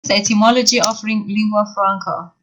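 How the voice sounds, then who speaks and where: A Persian-speaking woman teaches a class in English, her voice at an ordinary middle pitch. She talks haltingly, stopping often for fillers and restarting phrases as she walks through each point. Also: steady tone, a bit bright, poor audio. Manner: halting, many fillers, explains step by step. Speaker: university lecturer. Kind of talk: online lecture.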